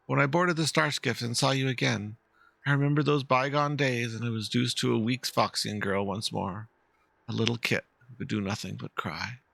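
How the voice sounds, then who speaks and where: A man voicing a dignified, grieving fox-woman pilot veteran, measured and earnest, in a game dialogue reading. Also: medium pitch, fairly even intonation, gravelly, fairly bright tone, no room sound. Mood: wistful grief.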